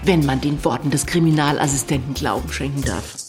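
A woman's voice: extremely low pitch, brisk. A woman playing a gossipy police office typist in a radio drama, chatty and confiding in colloquial speech. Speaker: actor. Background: music.

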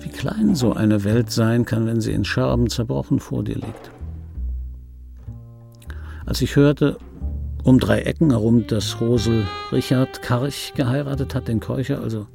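An actor playing a bitter middle-aged dubbing actor, telling his life in a wry, gravelly, husky voice in for a radio play. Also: medium pitch, a little slow, mellow, faint music behind. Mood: dry, reflective. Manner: wry, conversational, self-interrupting. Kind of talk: radio play monologue, intimate storytelling.

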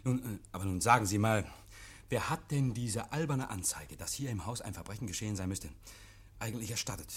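An actor in a 1950s German radio play, playing a nervous, evasive private scholar who talks in a fussy, pedantic, over-polite and agitated way. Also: male voice, fairly low pitch, expressive, brisk, breathy edge. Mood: testy, scornful. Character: nervous, evasive male private scholar hosting policemen, defensive and testy. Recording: vintage 1951 radio drama recording.

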